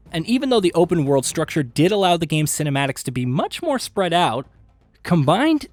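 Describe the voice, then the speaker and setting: A man reading scripted video game list narration with an emphatic, punchy delivery and dramatic pauses. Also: on the high side. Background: background music.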